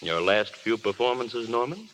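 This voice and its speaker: An actor playing a frank but kindly, paternal film studio boss in a measured 1930s radio drama manner. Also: male voice, medium pitch, expressive, a bit bright, close to an everyday voice. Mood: gravely blunt. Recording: noisy old 1930s radio broadcast.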